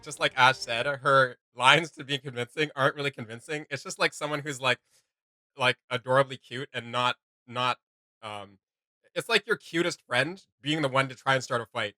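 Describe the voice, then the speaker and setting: A man's voice: medium pitch, steady tone, moderate pace, pushed and bright, no room sound. A man hosting a reaction video, chatting in an informal, rambling way. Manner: rambling, giggly, informal, many "like" and "you know". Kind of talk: casual reaction chat.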